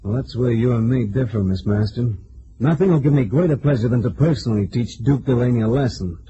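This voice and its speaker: An actor playing a brash, tough, wisecracking investigator in an old-time radio detective drama. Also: male voice, medium pitch, brisk, lightly acted. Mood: grimly menacing. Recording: muffled, very noisy vintage radio recording.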